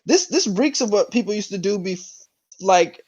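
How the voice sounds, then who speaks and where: A man's voice: sits high, expressive, normal speed, darkish tone, husky. A man chatting casually and giving opinions as a panelist in a group discussion, heard over dull online call audio. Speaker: panelist on an online pop culture show.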